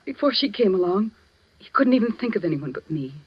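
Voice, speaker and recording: A woman's voice: medium pitch, sing-song, brisk, slightly rough. An actress playing a jilted, jealous and vengeful woman in a 1940s radio melodrama, intense and theatrical. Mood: desperate, vengeful. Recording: muffled old radio recording.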